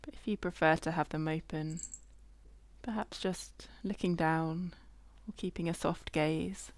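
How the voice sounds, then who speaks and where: A woman leading a guided body scan meditation in a gentle, unhurried way, leaving long pauses between phrases. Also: low-pitched, fairly even intonation, no room sound.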